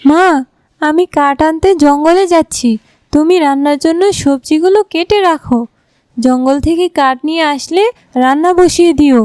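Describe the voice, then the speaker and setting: Woman voicing a high-pitched dutiful village daughter. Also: normal speed, darkish tone, dry recording, natural voice. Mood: reassuring. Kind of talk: Bangla cartoon fairy tale dub.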